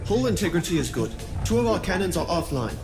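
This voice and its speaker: A man voicing an anxious, subservient alien ship officer reporting urgently to a superior in an animated sci-fi show. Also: high-pitched, fast talker, over music, full character voice. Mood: dutiful. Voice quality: gravelly.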